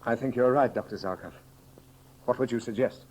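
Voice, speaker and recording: An actor playing a grave, loyal counselor with solemn, formal, declamatory delivery in a 1930s radio serial. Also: male voice, mid-range voice, fairly quick, darkish tone, lightly acted. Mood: troubled. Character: grave loyal counselor, stern yet devoted male friend. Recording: muffled old 1930s radio recording.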